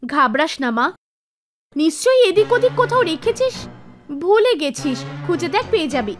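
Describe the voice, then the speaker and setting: A woman's voice: very high-pitched, big pitch swings, normal speed. A woman voicing a caring mother in a cartoon story, reassuring and soothing in manner. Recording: music behind the voice.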